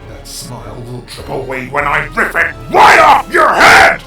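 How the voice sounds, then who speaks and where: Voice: medium pitch, wildly swooping pitch, measured pace, sharp tone, shouting, faint music behind, heavily acted. Harsh, swooping evil robot villain. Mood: menacing. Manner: grandiose, snarling threats. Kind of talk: fan audio drama acting.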